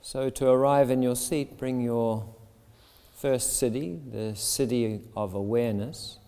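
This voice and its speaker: A man leading a guided meditation as a yoga teacher, speaking soothingly and deliberately in short phrases with pauses. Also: medium pitch, vocal fry, mellow, clean tone. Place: yoga shala with a seated group. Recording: long reverb of a large hall.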